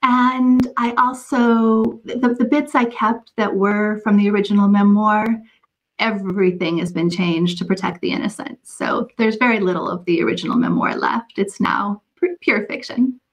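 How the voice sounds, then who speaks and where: A woman novelist speaking as a podcast guest, answering conversationally in a measured, articulate manner. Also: mid-range voice, steady tone, low-quality recording. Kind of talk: conversational anecdotal interview answers.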